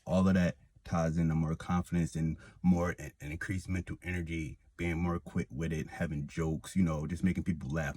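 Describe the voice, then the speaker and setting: Young man, slight lisp, very low voice, husky, rambling casual vlog talk. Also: darkish tone. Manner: rambling, many fillers and restarts. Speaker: vlogger. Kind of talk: casual personal testimony.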